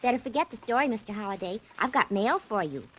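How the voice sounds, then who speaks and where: A perky, scatterbrained young secretary in a 1940s radio drama. Her American voice is fairly high and swoops about in a very animated way. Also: fast, slight background noise, low-quality recording. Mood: chirpy. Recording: vintage radio drama recording.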